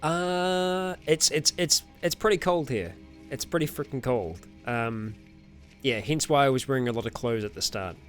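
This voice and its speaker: Guy chatting on his stream reading comments, lots of ums n laughs, medium voice, some music quiet behind. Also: medium pitch, moderate pace. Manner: chatty, rambling, frequent fillers and self-corrections, laughs often. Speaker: streamer host. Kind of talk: casual livestream chat, answering viewer comments.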